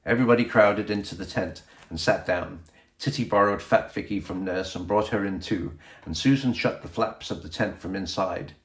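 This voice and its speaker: A man's voice: sits low, normal speed. A man reading a children's storybook aloud as a scripted audiobook narration. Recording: echoey room sound.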